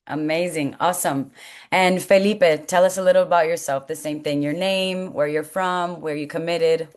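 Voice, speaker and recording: A woman's voice: low-pitched, fairly even intonation, normal speed, a little mellow. A woman hosting a webinar in an encouraging, semi-formal way, introducing guests and asking them questions. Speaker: host, college coaching staff.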